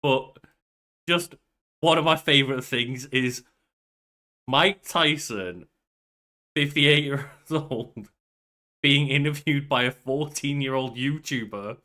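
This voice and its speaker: A man's voice: medium pitch, takes it slowly, long pauses, pushed and bright. A young man co-hosting a podcast in casual chat, with banter and some swearing. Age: young adult. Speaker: podcast co-host. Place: small bedroom at home.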